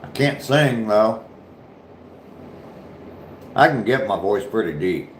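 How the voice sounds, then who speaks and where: A deep-voiced man with a light southern Ohio accent talks to his livestream viewers in a loose, easygoing way. He speaks slowly and lets his sentences trail off softly. The echo of the room and a faint hum sit behind him. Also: lively intonation. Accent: southern Ohio, slight Appalachian touch. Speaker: livestream host. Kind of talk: casual livestream chat reading comments.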